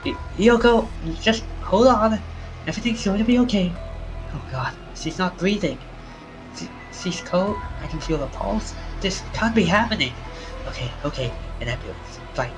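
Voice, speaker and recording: A man's voice: high-pitched, lively intonation, normal speed, pitched above the performer's own voice, poor audio. A man voicing a frightened young man whose friend has collapsed, reading a visual novel aloud and stammering in panic, with game music behind. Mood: panicked. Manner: stammering, panicky, then quietly resolved.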